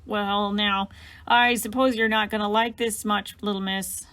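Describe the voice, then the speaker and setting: A woman's voice: medium pitch, fairly even intonation, a little slow, a bit bright, clean tone, dry recording, natural voice. A woman voicing a kindly, awkward man who runs a construction crew, talking in a hesitant, folksy way.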